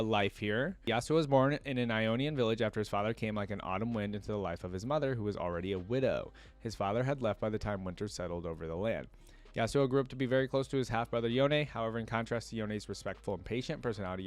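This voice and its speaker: A man making a reaction video, chatty and thinking aloud as he reads text out and comments casually. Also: lowish voice.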